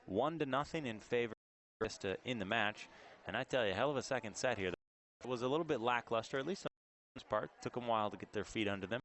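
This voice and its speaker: A man's voice: medium pitch, quick pace, fairly bright tone. A man doing live play-by-play commentary of a volleyball match in clipped phrases that rise on big plays. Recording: noisy gym with crowd behind. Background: crowd noise and ball bounces in a gym.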